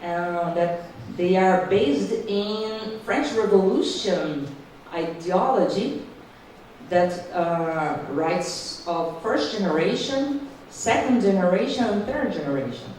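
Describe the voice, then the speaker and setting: A Brazilian woman with a Portuguese accent, a native Portuguese speaker, giving an academic panel talk in English carefully, with halting restarts. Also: medium pitch, lively intonation, darkish tone, slight background noise. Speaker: panel speaker, law professor. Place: conference hall. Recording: distant mic in echoey hall.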